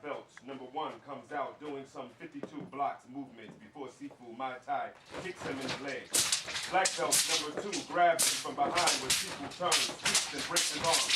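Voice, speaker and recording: A man reading scripted stage directions for fight action aloud to a room. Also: on the high side. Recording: echoey room, distant mic, clear noise.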